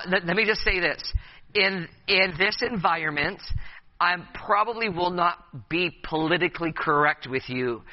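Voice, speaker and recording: Man, thirties, youth pastor, conference talk, hesitant fillers, playful, dull lo-fi sound. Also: fairly high pitch. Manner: hesitant, many uh fillers and restarts, playful with audience.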